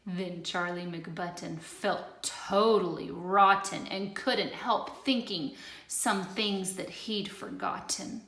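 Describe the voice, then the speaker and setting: A woman teacher reading a rhyming picture book aloud, expressive, moving between loud lines and hushed ones. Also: mid-range voice, slow. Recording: roomy echo.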